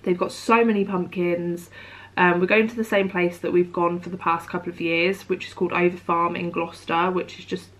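A young woman chats to her camera at home, bright and upbeat. She has a cold, so her voice comes out nasal and croaky. She fills her talk with "like" and "um". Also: on the low side, level tone, a little fast, poor audio. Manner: chatty, upbeat, lots of "like" and "um". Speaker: vlogger. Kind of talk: casual chat to camera. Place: bedroom at home.

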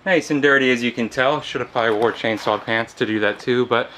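A man's voice: medium pitch, brisk, pushed and bright, a little hiss or hum, poor audio. A man talking casually through a build as a vlog host, laid-back and matter-of-fact. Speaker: host of a DIY fabrication channel.

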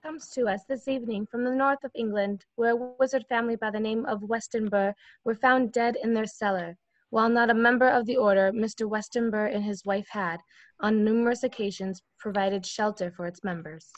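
Over dull, dry call audio, a woman plays a sombre newsreader. Her fairly high voice gives a scripted radio bulletin. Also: fairly even intonation, a little fast, no echo, natural voice. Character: sombre wireless newsreader reporting deaths. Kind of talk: radio news bulletin in a table read.

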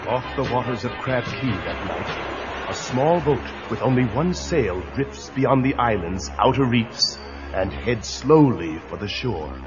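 A man's voice: mid-range voice, lively intonation, unhurried. A man narrating a children's storybook adventure in a dramatic, urgent way. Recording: dull lo-fi tape with music.

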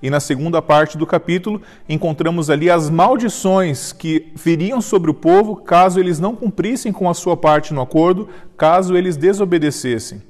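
Male Bible teacher, 30s, slightly high voice, earnest and measured, long reverb. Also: a little mellow. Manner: earnest, measured, reads scripture aloud slowly. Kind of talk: devotional Bible lesson with closing prayer. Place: indoor studio set with armchair and flowers.

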